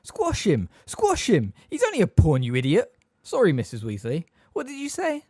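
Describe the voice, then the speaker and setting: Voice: mid-range voice, huge pitch swings, normal speed, a little mellow, no room sound, studio quality, voice pushed up. A narrator voicing an impulsive teenage schoolboy in a storybook, blurting things out in a questioning, indignant way.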